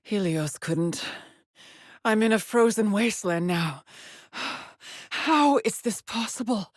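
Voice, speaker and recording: An actress playing a haunted, driven female astronaut in a video game, brooding and introspective in measured solitary log monologues. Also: medium pitch, expressive, no room sound, studio quality. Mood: uneasy wonder. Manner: slow, measured, brooding delivery with pauses.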